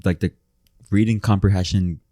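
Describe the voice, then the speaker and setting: A man's voice: low-pitched, fairly even intonation, normal speed, mellow, no echo, clean studio sound. A young man co-hosting a podcast in casual chat, crude and giggly, often trailing off mid-sentence. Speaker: podcast co-host.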